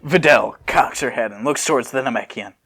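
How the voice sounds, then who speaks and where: A man's voice: mid-range voice, fairly quick, a bit bright, husky. A man co-hosting an amateur dramatic reading, narrating casually and often breaking off into giggles and joking asides. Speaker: co-host reading fan fiction aloud.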